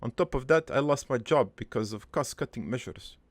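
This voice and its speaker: A man voicing a middle-aged, foreign-born cartoon father who rambles through his life story in a deadpan, halting, improvised monologue with a gravelly voice. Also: medium pitch, no room sound, lightly acted. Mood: resigned. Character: rambling, crude, wistful foreign-born immigrant father named Joey.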